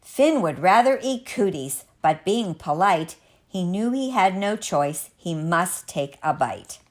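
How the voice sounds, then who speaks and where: Unhurried woman reading a children's story. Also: fairly low pitch, lively intonation. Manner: measured, dramatic, sing-song emphasis. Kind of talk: expressive rhyming story read-aloud.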